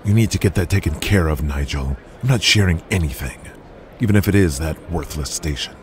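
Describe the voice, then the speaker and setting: A man playing a smug, domineering wrestling promoter for an audio drama, deep low voice. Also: animated, brisk, slight background noise. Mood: commanding. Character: brash wrestling promoter, commanding and dismissive.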